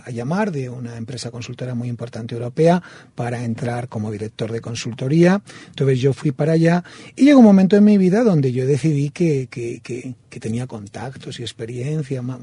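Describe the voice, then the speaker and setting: A man with a Castilian Spanish accent talks as a guest in a radio booth. His voice is mellow and a little husky, and he tells his story fluently and evenly. The sound is close and dry. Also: on the high side, dry recording. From Spain, Madrid. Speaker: interview guest, coaching school president. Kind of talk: radio interview.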